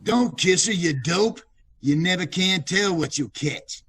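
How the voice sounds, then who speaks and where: A high-voiced little crook from an old-time radio mystery. He is prissy about germs and talks in indignant tough-guy slang, with melodramatic cowardice under the bluster. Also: animated, a little slow, a bit bright, breathy edge, heavily acted. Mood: huffy, defiant. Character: fussy germ-phobic small-time gangster, cowardly when threatened.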